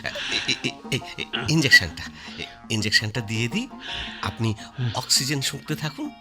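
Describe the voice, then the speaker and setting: A man's voice: mid-range voice, expressive, normal speed, over music, close to an everyday voice. An actor playing a nervous doctor who stammers and hesitates while soothing his patient. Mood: hesitant. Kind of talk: radio drama acting.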